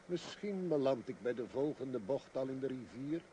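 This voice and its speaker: Man as lively, mellow, reckless night driver. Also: mid-range voice, moderate pace, a little hiss or hum, lightly acted, rough recording. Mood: gruff, careless. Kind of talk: radio play acting. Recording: old radio recording, engine drone behind.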